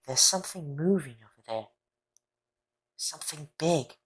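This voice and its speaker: A woman playing a brave, determined schoolboy hero in a fan audio drama, urgent and earnest with a husky edge. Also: low-pitched, lively intonation, measured pace, full character voice.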